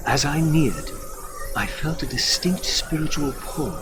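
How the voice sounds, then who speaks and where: An actor playing a brooding undead fallen hero, narrating his quest in a solemn, deliberate, theatrical way for a video game. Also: a little high, expressive, noisy background. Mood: grave, brooding. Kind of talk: scripted first-person game narration.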